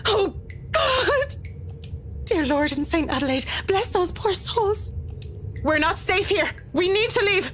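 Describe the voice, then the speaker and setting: A rattled, frightened young woman in a horror visual novel. Her voice sits very high and swings dramatically as she pleads, falters and curses. Some ambient noise sits behind her. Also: animated, a bit bright, full character voice. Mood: panicked. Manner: halting, swearing, pleading. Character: terrified, rattled young woman trapped in a haunted mansion.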